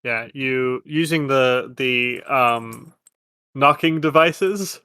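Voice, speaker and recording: A man's voice: mid-range voice, drawn-out words, sharp tone, clean tone, dry recording. A man improvising casually as game master of a tabletop game, bantering with players.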